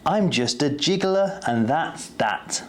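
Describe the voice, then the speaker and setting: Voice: mid-range voice, expressive, takes it slowly, a little hiss or hum. A storyteller voicing a fidgety, mischievous little schoolgirl with a plaintive, earnest manner in a children's storybook. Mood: resigned.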